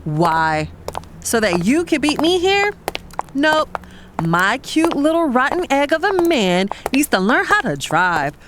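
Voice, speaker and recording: A woman's voice: sits high, big pitch swings, takes it slowly, noisy background, exaggerated voice. A woman playing a sassy, guilt-torn fiancée who narrates her own romantic drama in a confiding way. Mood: playful, sassy. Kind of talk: first-person dramatic narration and romantic dialogue.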